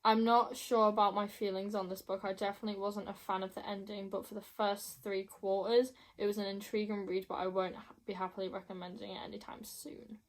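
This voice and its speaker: Young female book vlogger, mid-pitched voice, casual and emphatic, given to gushing repetition. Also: flat delivery, fairly quick, hardly any pauses. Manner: gushing, emphatic, repeats herself. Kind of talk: casual chat to camera.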